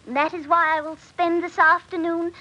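An actress playing a trusting, lovelorn young country maid in an old radio drama, with earnest, quaint, tremulous phrasing. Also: female voice, extremely high pitch, little pitch movement, moderate pace, bright, forceful tone, muffled recording. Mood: sorrowful refusal. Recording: vintage radio recording, faint noise.

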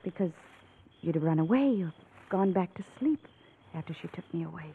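A woman's voice: lowish voice, expressive, a little fast. An actress playing a frightened young woman desperate to escape in an old-time radio drama, earnest and anxious in manner. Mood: quiet, knowing. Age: young adult. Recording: tinny, noisy vintage radio recording.

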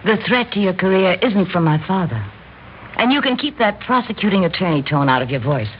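A woman's voice: on the low side, expressive, fairly quick, close to an everyday voice. Woman playing cool, reproachful wife, muffled old radio. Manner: curt, pointed. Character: cool, reproachful young wife, the Major's daughter. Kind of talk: classic American radio drama acting. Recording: muffled old radio recording, faint hiss.

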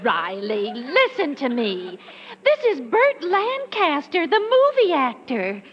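An actress playing a long-suffering wife with theatrical, drawn-out delivery in 1940s radio comedy. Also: female voice, sits high, wildly swooping pitch, dull audio, full character voice. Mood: exasperated. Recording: very noisy old radio, loud audience.

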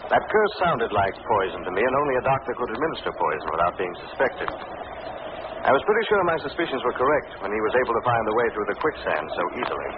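A man's voice: mid-range voice, very animated, fast, close to an everyday voice. Man playing commanding crime fighter, thin noisy recording. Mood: calm, knowing. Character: shrewd, calm male crime-fighting avenger, confident and commanding. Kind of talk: 1940s radio acting. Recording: noisy, narrowband vintage radio recording.

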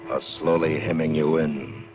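A man's voice: extremely low pitch, level tone, measured pace, lo-fi sound, over music. A man acting as a grave, ominous announcer in vintage radio adventure drama, on an old recording. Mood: ominous, inviting. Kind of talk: 1940s-50s American radio drama acting.

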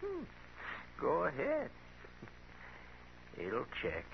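An actor playing a domineering, snappish rancher in a radio Western, with a rural American accent. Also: male voice, on the high side, wildly swooping pitch, drawn-out words, vocal fry, heavily acted. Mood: defiant, wary. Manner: snappish, defiant. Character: domineering, mean young rancher bullying his mother. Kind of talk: 1950s radio Western acting. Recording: muffled, noisy 1950s radio recording.

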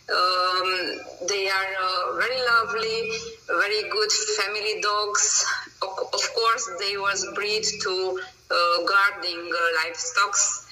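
A woman from Romania, a dog breeder answering interview questions in halting English full of pauses, fillers and grammar. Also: mid-range voice, pushed and bright, far from the mic, poor audio.

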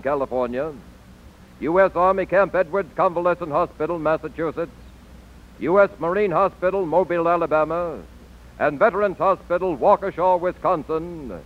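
Tinny-sounding American man, solemn radio announcer. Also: fairly high pitch. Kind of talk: 1940s formal scripted tribute. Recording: tinny narrow-band old radio.

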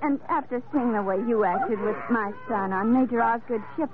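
Woman acting as worried young mom, high pleading voice, thin old radio sound. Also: normal speed, phone-quality sound, lightly acted. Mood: anxious. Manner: pleading, earnest. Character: earnest, anxious young mother seeking help. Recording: thin vintage radio recording, faint hiss.

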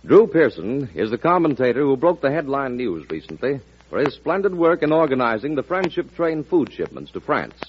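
A man's voice: medium pitch, sing-song, normal speed, few pauses, a little hiss or hum. An American man making promotional announcements in the style of a 1940s network radio announcer. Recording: husky, muffled old radio broadcast.

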